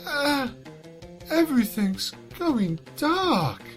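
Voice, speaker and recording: A woman voicing a melodramatic dying cupcake in a cartoon fan story, consoling and solemn, speaking haltingly. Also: high voice, very animated, faint music behind, voice pushed up, heavily acted. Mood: fading, wistful.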